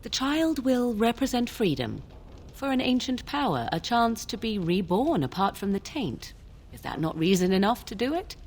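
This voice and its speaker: An actress playing a mysterious, guarded young sorceress in a fantasy game, speaking in a cool, formal, archaic manner. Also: female voice, on the high side, sing-song, moderate pace, darkish tone, a little hiss or hum. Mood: guarded, defiant. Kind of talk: fantasy video game dialogue.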